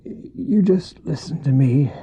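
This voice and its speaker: Man acting a frail, husky, gravely ill father. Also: a little high, expressive, mellow, exaggerated voice. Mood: tender, solemn. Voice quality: weak, breathy, husky. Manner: halting, slow, faltering. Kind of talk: earnest radio drama acting. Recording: dull old cassette recording.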